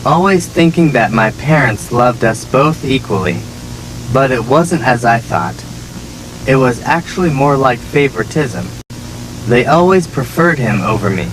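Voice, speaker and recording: A man playing a neglected, resentful younger son, telling his story as measured, plaintive scripted horror narration. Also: medium pitch, expressive, over music, close to an everyday voice, poor audio. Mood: wistful, resigned.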